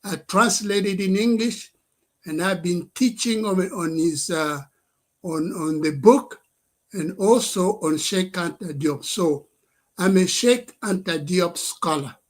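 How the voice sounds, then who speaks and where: An older man, a native French speaker, speaks in a fairly high voice. He talks slowly and deliberately and keeps repeating his points for emphasis. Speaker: scholar and activist addressing leaders. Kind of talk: livestream address.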